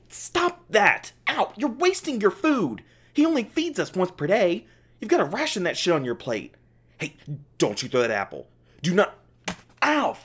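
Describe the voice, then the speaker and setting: An animated, snarky scientist held captive, played by a roleplay performer, with a mid-pitched voice that swings widely. The delivery is testy and sarcastic, in casual, sweary speech made for a one-sided audio drama. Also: breathy edge, exaggerated voice. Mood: indignant, yelling.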